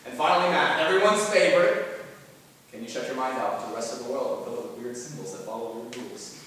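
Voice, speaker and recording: A young man performing a dramatic monologue as an anxious twenty-year-old looking back on depression, halting and earnest, with theatrical swings in volume. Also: mid-range voice, voice pushed down, close to an everyday voice. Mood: wry, reflective. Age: young adult. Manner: halting, earnest, swinging from quiet to loud outbursts. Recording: very echoey hall from far off, noisy.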